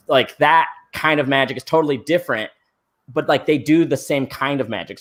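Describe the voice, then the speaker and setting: A man's voice: mid-range voice, a bit bright. A man, a magician guest, talking casually and at length over a video call, full of fillers. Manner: casual, rambling, lots of "like" and "uh". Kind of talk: live-stream interview.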